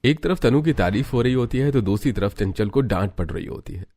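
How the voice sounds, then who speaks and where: A man's voice: mid-range voice, animated, brisk, dark tone, faint music behind. A man narrating a scripted cartoon family story.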